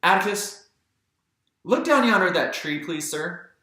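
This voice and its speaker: A man's voice: sits high, sing-song, measured pace, pushed and bright, pitched above the performer's own voice, exaggerated voice. Man acting earnest boy in echoey room. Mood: polite, insistent. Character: Jem, earnest boy of about twelve, polite, moody, Southern.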